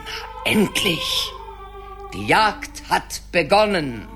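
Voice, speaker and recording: A woman's voice: lowish voice, very animated, very slow, exaggerated voice. An actress playing an imperious, scheming witch queen in a radio play, commanding and silkily menacing. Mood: gloating. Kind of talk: German radio play acting. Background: music.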